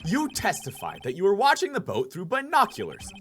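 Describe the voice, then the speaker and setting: A man playing an earnest, determined young defense attorney in a courtroom game, emphatic and excitable. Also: high voice, a little fast, faint music behind, pitched above the performer's own voice, exaggerated voice. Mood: accusing. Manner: emphatic, accusing, inner musing.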